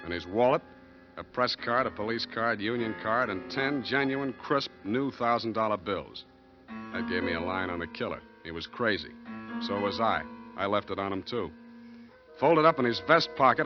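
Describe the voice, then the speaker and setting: A hard-boiled detective played by a man tells his story with clipped, deadpan wit. It is a muffled old radio drama recording, with music clearly behind the voice. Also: mid-range voice, lightly acted. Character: wisecracking hard-boiled male private detective. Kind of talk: 1940s radio detective drama, first-person narration.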